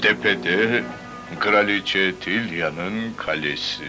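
A man voicing the heroine's helper and guide in a Turkish-dubbed children's cartoon, explaining things in an animated way. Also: low-pitched, very slow, music in the background. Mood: friendly.

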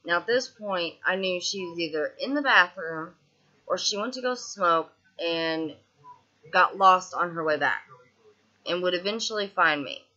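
A woman's voice: on the low side, animated, a little slow, bright, forceful tone, muffled recording. A woman in her twenties with a Southern US twang, venting in a casual video diary with lots of fillers and restarts, recorded indoors on a laptop webcam. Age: 20s. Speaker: vlogger. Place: small indoor room, seated on a couch.

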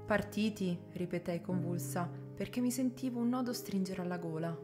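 A woman's voice: lowish voice, normal speed, natural voice. A woman acting a devoted female servant who tells her story earnestly, as radio drama. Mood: distressed. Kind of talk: dramatic first-person narration and dialogue. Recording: guitar music behind the voice.

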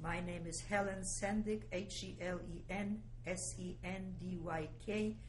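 A woman's voice: lowish voice, steady tone, unhurried, slight background noise, rough recording. A woman conducting a formal oral history interview, prompting politely and steadily.

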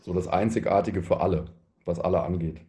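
A man in his thirties in a panel discussion, thinking aloud haltingly and repeating words as he searches. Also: low-pitched, mellow. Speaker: panelist, artist.

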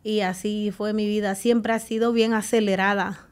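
Female interview guest, middle-aged, Salvadoran accent, mid pitch, recounting personal experience in a radio studio. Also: little pitch movement, normal speed, smooth. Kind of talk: recounting personal life in conversation. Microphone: close desk microphone with headphones.

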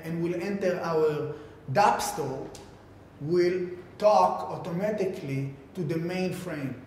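Guy doing a halting tech pitch, super echoey reverby room, faint rumble. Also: a little mellow. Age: 30s. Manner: halting, filler-heavy delivery with repeated words. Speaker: tech company founder presenting a product. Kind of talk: informal keynote explanation to camera.

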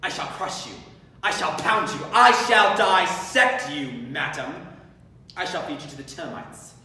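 A man's voice: a little high, pushed and bright. Young man as pompous tyrannical headmistress, echoing room. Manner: pompous, deliberate, emphatic declaiming. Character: tyrannical, sadistic school headmistress, pompous and menacing. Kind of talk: theatrical villain monologue. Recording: distant echoey room, faint noise.

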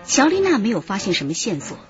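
Female narrator for police radio drama: serious, expressive mid-pitched delivery, music underneath. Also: quick pace. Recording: dull sound under loud music.

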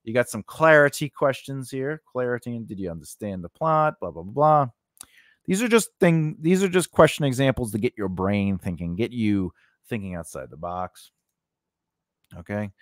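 A man's voice: mid-range voice, animated, normal speed, dry recording. Man teaching informally, dry close-mic sound. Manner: conversational, rambling, punctuated with "you know" and "right?". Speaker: host of a screenwriting lesson. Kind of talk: informal instructional talk. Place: small indoor home studio. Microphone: large condenser microphone on a boom arm, close up.

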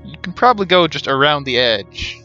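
A man in his thirties streams a game with wry, casual commentary. His voice is bright and close, on slightly dull audio with music playing behind. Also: on the high side, animated, a little fast. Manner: wry, joking asides. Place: indoor room, webcam stream.

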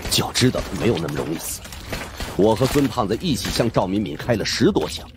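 A man narrating a suspense audiobook in the first person, with dramatic, animated delivery. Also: on the low side, brisk, very noisy.

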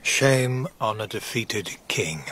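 A man's voice: lowish voice, expressive, slow pace, a bit bright, slight background noise. An actor playing a stern, loyal knight in the king's service, blunt and grave, in a historical radio drama. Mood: wry, reflective.

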